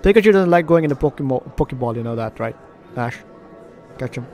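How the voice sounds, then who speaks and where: A man's voice: mid-range voice, expressive, quick pace, a little mellow, soft background music. A man doing let's play commentary, reading game narration aloud with jokey asides and stumbles over words. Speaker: gaming video host.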